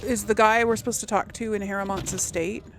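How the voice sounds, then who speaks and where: A woman's voice: mid-range voice, steady tone, brisk, fairly bright tone. A woman hosting a casual video game let's play, rambling and musing to herself as she goes. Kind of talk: casual game commentary, thinking aloud. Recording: faint background noise.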